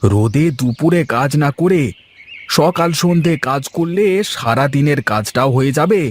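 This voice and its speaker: A man's voice: on the high side, animated, normal speed. A man voicing a weary, grumbling village farmer in a cartoon folk tale, with exclamatory, folksy talk. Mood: pleased, musing.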